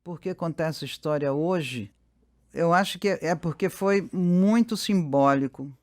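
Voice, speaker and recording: A Brazilian man gives thoughtful, unscripted interview-style answers. His voice sits a little higher than most men's. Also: a little slow. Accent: Brazilian Portuguese. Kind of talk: reflective interview talk.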